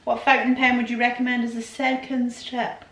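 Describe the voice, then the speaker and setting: A woman's voice: fairly high pitch, flat delivery, moderate pace, fairly bright tone. Chatty woman, distant in an echoey room. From Scotland. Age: 40s. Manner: rambling, chatty, self-interrupting. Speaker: vlogger. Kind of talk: casual Q&A chat. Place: home room.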